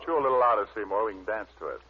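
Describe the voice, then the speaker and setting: Private detective, low voice, sardonic, wisecracking, old radio drama, thin tinny recording. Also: male voice, lively intonation, racing, breathy edge. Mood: wry banter. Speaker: detective narrator and lead.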